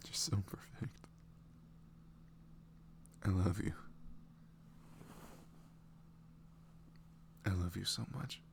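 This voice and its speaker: A man's voice: deep voice, measured pace, vocal fry, heavily acted. A man playing an adorable, lovestruck young boyfriend in an ASMR romance roleplay, giggly and gushing as he stumbles over words.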